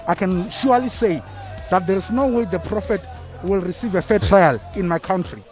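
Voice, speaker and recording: A man's voice: sits high, normal speed, faint music behind. Emphatic man. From South Africa. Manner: emphatic, declaring. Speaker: supporter at a rally.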